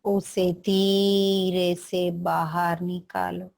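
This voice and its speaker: A woman with an Indian accent leading a guided meditation, speaking deliberately in short phrases with long pauses between them. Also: on the low side, flat delivery, gravelly, darkish tone.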